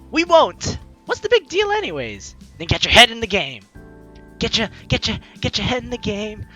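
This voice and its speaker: A young adult host doing casual, playful game commentary and theatrically reading the dialogue aloud in an excitable way. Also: medium pitch. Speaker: let's play host. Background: faint game music.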